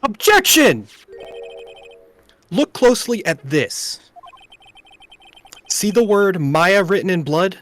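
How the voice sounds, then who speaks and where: A man's voice: sits high, very animated, slow pace, pauses often, fairly bright tone, pitched above the performer's own voice, heavily acted. A man voicing an earnest young defence lawyer in an amateur game dub, easily flustered, pleading and emphatic. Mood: assertive.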